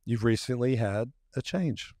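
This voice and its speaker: A man's voice: mid-range voice, a little slow, mellow, no room sound. Man, podcast co-host, blunt, to the point, dry studio sound. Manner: blunt, gets right to the point, frequent backchannel. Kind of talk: casual chat.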